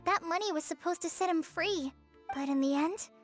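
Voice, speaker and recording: A tiny, earnest sidekick from an adventure game. The childlike voice is extremely high and openly reactive, with soft music behind it. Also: female voice, moderate pace. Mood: earnest musing. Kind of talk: scripted video game dialogue.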